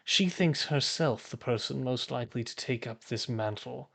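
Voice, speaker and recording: A man's voice: medium pitch, moderate pace, vocal fry, fairly bright tone. A man voicing an imperious, threatening cult leader with a pompous, formal way of speaking in a tabletop game. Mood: menacing. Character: imperious, threatening male cult leader claiming divine will.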